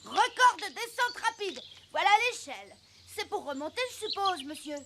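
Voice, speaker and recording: Cheeky, very high-pitched little boy. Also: big pitch swings, moderate pace, noisy background, exaggerated voice. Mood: mocking, cheeky. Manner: teasing, sassy, mock-polite.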